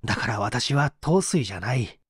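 A man's voice: medium pitch, animated, normal speed, slightly rough, no echo, clean studio sound. An actor playing a bitter, defensive painter in his thirties under interrogation, aggrieved and self-justifying, in a radio drama. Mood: defensive, resentful. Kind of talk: dramatized mystery reading.

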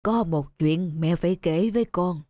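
A woman's voice: lowish voice, moderate pace, dark tone, soft background music, natural voice. A woman playing a loving, wise elderly grandmother in a cartoon dub, speaking tenderly and reassuringly. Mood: solemn confession.

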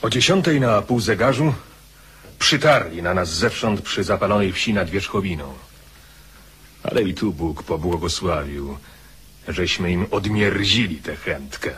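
Deep-voiced, grave soldier chronicler. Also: male voice, a little slow, fairly bright tone, breathy edge, heavily acted. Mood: grim relief. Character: grave soldier chronicler recounting a desperate retreat. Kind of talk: dramatised radio narration.

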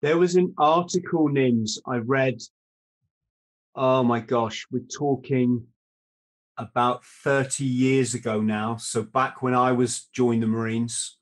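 A man's voice: medium pitch, fairly even intonation, takes it slowly. A man in his fifties hosting a podcast over a video call, asking long storytelling questions. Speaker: podcast host. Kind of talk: casual interview chat. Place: room at home.

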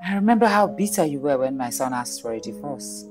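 A woman's voice: extremely low pitch, lively intonation, fairly quick, music in the background. An actress playing an imperious, sardonic older mother-in-law, haughty and commanding. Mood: coolly gracious. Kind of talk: film drama acting.

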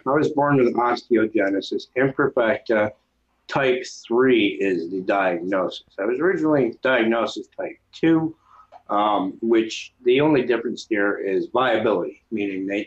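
A man in his thirties from New York, a guest speaking calmly with many pauses over a video call from home. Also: on the low side. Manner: calm, plain, many filler pauses. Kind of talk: casual interview chat. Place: home room. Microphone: video call with webcam mic. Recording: dull lo-fi webcam audio.

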